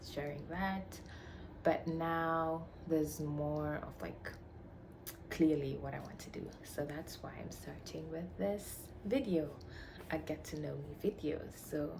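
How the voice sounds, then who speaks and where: A young woman from Tanzania chatting casually to camera about herself, playful and chatty, dipping into whispery murmurs. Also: sits low. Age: young adult. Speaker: vlogger. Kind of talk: casual get-to-know-me chat. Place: shared home room. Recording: noisy home recording with roommates behind. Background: household noise.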